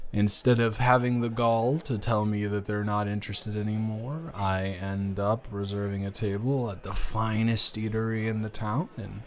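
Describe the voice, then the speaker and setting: A man's voice: on the low side, close to an everyday voice. A man playing an awkward, joking, smitten young lover in an improvised intimate audio roleplay, hesitant and wordy with self-deprecating humor. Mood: wry, self-deprecating.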